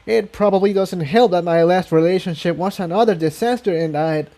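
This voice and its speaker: A man's voice: high-pitched, warm tone, pitched above the performer's own voice. A man voicing a shy, guilty young female pony in a story reading, earnest, awkward and hesitant. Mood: nervous confessing. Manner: hesitant, rushed confessing.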